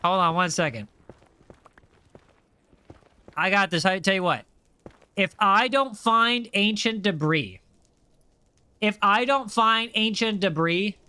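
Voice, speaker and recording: A man's voice: high-pitched, unhurried, long pauses, sharp tone, smooth, no room sound. A young American man with a general American accent, chatting casually and upbeat while playing a game on a livestream and reading chat aloud. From USA. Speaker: streamer.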